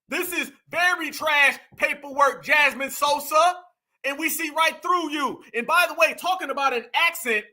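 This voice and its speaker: A man hosting a reaction commentary show, talking in a brash, slangy, mocking way and sometimes breaking into shouts. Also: very high voice.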